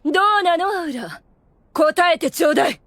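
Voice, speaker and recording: A woman's voice: extremely high pitch, moderate pace, fairly bright tone, dry recording. A woman playing a proud, scheming demon woman in an anime audio drama, with theatrical, formal feminine speech. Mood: accusing.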